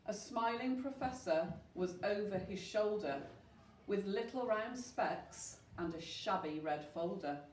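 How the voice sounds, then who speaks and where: A woman tells a rhyming children's story at an unhurried pace. She reads expressively and asks the listeners questions. The sound is roomy and slightly distant, with faint noise. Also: medium pitch, lively intonation, darkish tone. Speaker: storyteller at a school.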